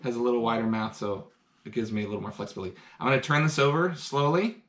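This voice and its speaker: A man with a middle-pitched voice runs a home science lesson over a live stream, explaining each step in an easy, chatty way. He sounds keen and a little excited as he carries out simple experiments in front of the camera, and his voice drifts closer and farther as he moves around. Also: fast talker. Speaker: science teacher. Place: home, indoors.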